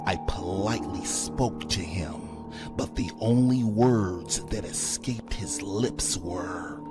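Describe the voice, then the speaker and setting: Slow, low-voiced man narrating horror over music. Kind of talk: scripted first-person horror story narration. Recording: prominent background music under voice.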